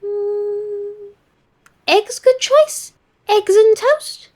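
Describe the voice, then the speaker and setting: Very high, chirpy little house spirit. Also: female voice, drawn-out words, darkish tone, exaggerated voice. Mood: considering. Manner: clipped broken phrases without articles. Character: excitable childlike female house spirit, eager and doting.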